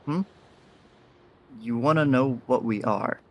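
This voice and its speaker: A man's voice: mid-range voice, takes it slowly, darkish tone, a little hiss or hum. A man playing a friendly, easygoing leader of a graffiti crew in an audio drama, casual and a little hesitant. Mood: awkward, hesitant. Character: Toast, friendly easygoing faceless graffiti artist, male group leader.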